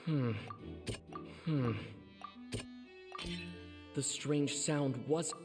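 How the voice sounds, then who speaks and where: A man playing a composed, deliberate young investigator laying out a case in a video game. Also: fairly high pitch, steady tone. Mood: thoughtful, explaining. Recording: echoing hall, distant, background music.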